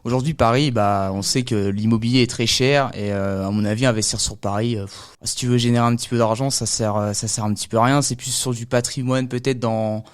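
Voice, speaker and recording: Quick-talking male podcast interviewer. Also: mid-range voice, steady tone, clear, resonant tone. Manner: informal, rambling questions that rephrase the guest. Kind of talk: casual conversational interviewing.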